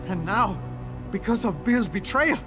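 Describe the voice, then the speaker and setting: An actor playing a cornered, despairing doctor, aggrieved and dramatic. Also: male voice, high voice, expressive, measured pace. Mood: bitter, despairing. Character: bitter, cornered male doctor, self-justifying and despairing. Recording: music behind the voice.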